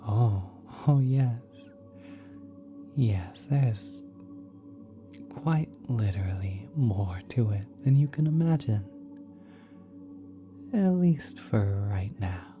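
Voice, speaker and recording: A man voicing a kind, doting celestial being in a soft, breathy, often whispered ASMR roleplay, tender and halting. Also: fairly low pitch, animated, music in the background. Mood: patient, explaining. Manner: tender, halting, gently coddling. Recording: cosmic ambient sound effects behind.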